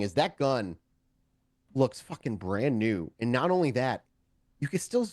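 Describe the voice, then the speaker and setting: A man runs a tabletop game, improvising the story with profanity. His medium-pitched voice swings in animated fashion, recorded close and dry. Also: no room sound. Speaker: game master.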